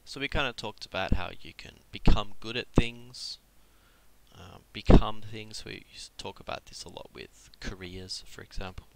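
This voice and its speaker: A man's voice: lowish voice, normal speed, gravelly, background noise. A man with a cold giving a casual live-streamed Japanese lesson, explaining step by step with frequent ums and restarts. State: has a cold. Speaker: Japanese tutor.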